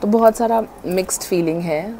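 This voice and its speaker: A woman's voice: medium pitch, moderate pace, dark tone, slight background noise. A woman in her thirties chatting casually to camera as a vlogger, mixing Hindi and English, recorded with a lapel mic on a home patio. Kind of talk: casual vlog chat. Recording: background music.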